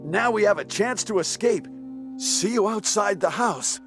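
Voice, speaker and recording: A man's voice: sits high, a little slow. An actor voicing a brave young male agent in a 1990s video game, with stiff line readings. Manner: stiff, flatly delivered lines. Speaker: game character. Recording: music behind the voice.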